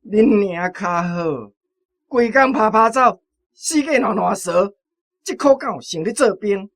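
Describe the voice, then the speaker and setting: An actor playing a temperamental battalion commander with a Taiwanese accent in a military radio drama. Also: male voice, high voice, animated, measured pace, fairly bright tone, heavily acted. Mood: angry. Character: hot-tempered battalion commander, fawning to superiors.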